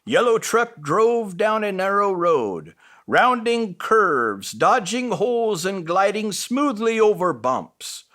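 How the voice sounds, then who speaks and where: Slow, very expressive man reading bedtime stories. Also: high-pitched. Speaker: storyteller reading as Santa.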